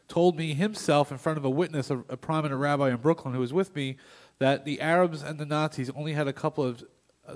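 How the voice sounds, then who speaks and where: A man talks quickly and directly as he gives his account. His pitch sits in the middle range. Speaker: audience member. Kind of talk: telling a personal account.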